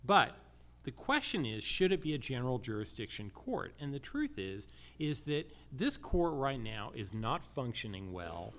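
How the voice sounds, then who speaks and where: An American man speaking as a judicial candidate at a public forum, deliberate and using emphatic repetition. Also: medium pitch, very animated, a bit bright.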